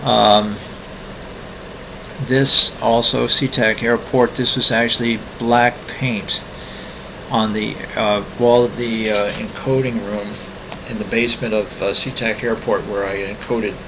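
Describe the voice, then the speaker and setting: A man in his sixties, an artist walking through his website, talking casually with many ums, pauses and restarts. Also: fairly low pitch, fairly even intonation, background noise. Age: 60s. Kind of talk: casual screen-recorded walkthrough.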